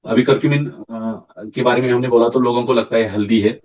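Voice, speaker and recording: A man with a slightly hoarse throat leads a product training session. He explains point by point, stumbles and restarts words, and often asks "right?" to check on his listeners. The recording sounds distant and dull, like a mic set far from him. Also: mid-range voice, fairly even intonation, darkish tone. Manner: mixes English terms into Hindi, halting restarts. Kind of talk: sales training presentation.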